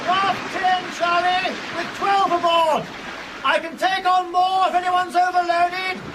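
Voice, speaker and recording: An actor playing a stern, commanding airline captain speaking with authority in a raised voice in a radio drama. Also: male voice, very high-pitched, flat delivery, slow pace. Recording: noisy drama scene with crowd clamour.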